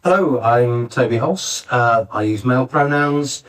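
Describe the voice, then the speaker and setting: A man's voice: medium pitch, expressive, unhurried, a bit bright. A man in his late fifties talking informally as a panelist at a live discussion. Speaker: panelist, playwright and theatre director. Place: arts centre theatre, live panel. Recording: distant, varying-quality backup live recording.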